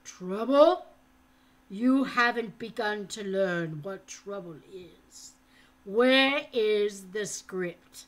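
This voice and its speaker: A woman voicing a menacing grown man with a harsh, hollow voice, reading him slowly and loudly in a storybook. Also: mid-range voice, lively intonation. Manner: slow, loud, threatening.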